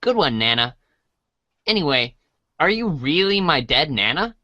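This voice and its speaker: Woman as an earnest, low-pitched young boy. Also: sing-song, unhurried, pushed and bright, no room sound, heavily acted. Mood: earnest, hesitant. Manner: hesitant, earnest. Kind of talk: read-along fan theater.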